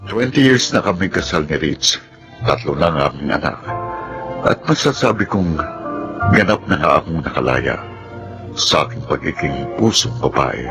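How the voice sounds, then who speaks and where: A very low-pitched Filipino man, a principled lawyer in a radio drama. He speaks earnestly and with measured solemnity, with music behind him. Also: expressive. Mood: grateful, reflective. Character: principled Filipino male lawyer, earnest, telling his life story. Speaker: drama actor.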